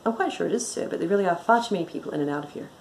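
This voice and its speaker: A woman reading a storybook as an exasperated female detective inspector, brisk, sharp and commanding. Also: fairly low pitch, expressive, slight background noise. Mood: strained politeness.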